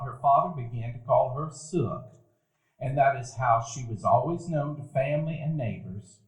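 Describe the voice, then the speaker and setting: A man narrating a live radio play, reflective storytelling. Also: fairly high pitch. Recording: echoey live theater hall.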